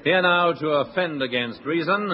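A man's voice: on the high side, normal speed, crowd noise. Dry, mock-formal radio show host, man. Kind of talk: scripted comic links. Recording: dull 1960s radio recording with hiss.

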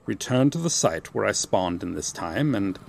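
A man's voice: lowish voice, lively intonation, moderate pace, creaky, a little mellow, slight background noise. A man playing a proud, courteous medieval knight out of his time in an audio roleplay, with formal, archaic, theatrical phrasing. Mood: puzzled, resolute. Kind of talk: ASMR roleplay acting.

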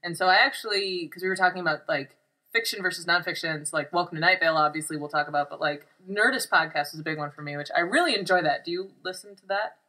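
A woman's voice: lowish voice, quick pace, bright, forceful tone, low-quality recording. A woman chatting informally as a co-host of a podcast. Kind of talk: casual chat.

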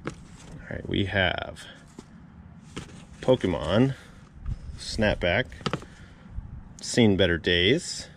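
A man's voice: deep voice, expressive, extremely slow, creaky, pauses often, fairly bright tone, slight background noise. A man vlogging as he digs through a storage unit, amazed and repeating exclamations, filmed on a head-mounted camera. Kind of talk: excited unboxing vlog. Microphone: head-mounted action camera.

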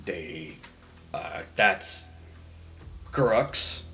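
A man voicing a brooding male student with buried rage in a tabletop game, subdued and halting. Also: deep voice, animated, vocal fry, sharp tone, heavily acted. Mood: grim, halting.

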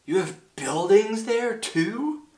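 A man voicing a big, gentle, protective elephant who talks earnestly in a children's storybook. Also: medium pitch, expressive, a little slow, echoey room. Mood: eager, amazed.

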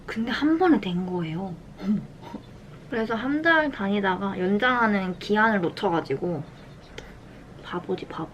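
Clear room noise sits behind a young woman with a mid-pitched voice. She talks in an unhurried, soft-spoken way, like a lifestyle vlogger chatting through her daily routine. Her delivery is casual and conversational. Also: lively intonation. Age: young adult. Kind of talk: casual chat to camera during daily routine.

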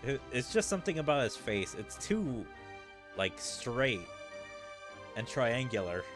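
Lively male gamer over background music. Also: mid-range voice, a little slow. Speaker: gaming streamer. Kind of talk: casual playthrough commentary. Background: game music.

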